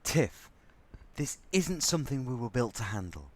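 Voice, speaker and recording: Man playing sarcastic spaceman with wildly swinging pitch. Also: very animated, moderate pace, a little mellow. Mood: exasperated. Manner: sardonic, ranting. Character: exasperated, sarcastic, foul-mouthed male spaceship crewman. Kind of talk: audio drama acting.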